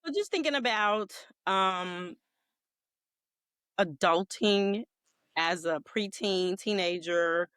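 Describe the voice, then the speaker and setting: A woman's voice: mid-range voice, fairly bright tone, smooth, no echo, studio quality. Earnest woman in her fifties. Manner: earnest, thoughtful, measured. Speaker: guest. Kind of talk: casual podcast chat.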